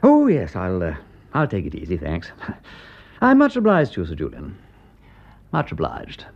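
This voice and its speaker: An aristocratic English amateur sleuth in a radio drama, breezy and a bit nervy. His upper-class voice sits lowish and leaps about in pitch, full of old-fashioned slang. The recording is slightly dull. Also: huge pitch swings, a little slow, creaky, a little mellow, heavily acted. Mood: nervy, polite. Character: genial, nervy aristocratic English gentleman detective, light-hearted silly-ass manner.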